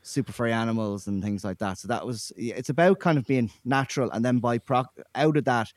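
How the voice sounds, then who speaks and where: A man's voice: mid-range voice, brisk, no room sound, clean studio sound. A man, a musician answering interview questions thoughtfully and with some hesitation. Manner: thoughtful, hesitant, many likes. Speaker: musician guest.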